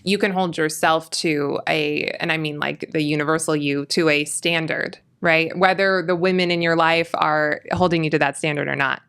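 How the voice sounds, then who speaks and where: A woman from California talks earnestly as a guest in an interview, choosing her words with care and circling back to rephrase. Her voice sits a little low and sounds smooth and clean. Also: steady tone, a bit bright. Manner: earnest, measured, frequent restarts. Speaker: interview guest, political commentator. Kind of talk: conversational interview.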